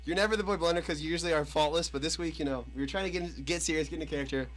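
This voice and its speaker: A man's voice: fairly high pitch, racing, a bit bright, low-quality recording. A young man co-hosting a radio show with casual, joking banter. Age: young adult.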